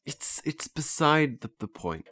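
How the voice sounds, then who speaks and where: A man's voice: fairly high pitch, sing-song, measured pace, bright, forceful tone, no room sound, clean studio sound, heavily acted. A man playing an earnest, flustered learner in a naturalistic audio drama, hesitant and stammering as he restarts his phrases. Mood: earnest, explaining. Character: earnest, flustered male learner eager to master memory techniques.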